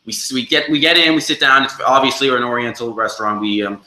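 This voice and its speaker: A man being interviewed as a band member, telling stories casually and excitedly, often repeating words and acting out quotes. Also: mid-range voice. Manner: halting delivery with repeated words and acted-out quotes. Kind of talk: animated casual storytelling. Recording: echoey room.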